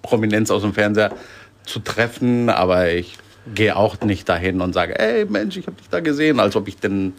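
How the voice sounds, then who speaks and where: A man's voice: fairly low pitch, lively intonation, normal speed, creaky. A man from Sicily as a guest, talking casually with many hesitations. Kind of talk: casual chat.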